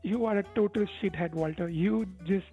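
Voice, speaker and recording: A man's voice: high voice, normal speed, warm tone. An impatient, insistent man arguing over a phone call as a support agent, repeating himself. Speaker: tech support phone scammer. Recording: dull phone line, faint music behind.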